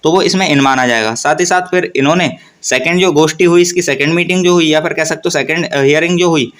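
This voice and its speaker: A man presents an informational update in a fairly high, bright and forceful voice. He explains step by step, moving between reading out official wording and putting it in plainer terms. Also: quick pace. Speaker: host of an exam news channel.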